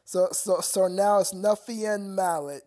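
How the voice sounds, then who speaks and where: A man's voice: sits high, a little slow, darkish tone. A young man chatting casually with viewers on his live stream, rambling and stammering with frequent laughter.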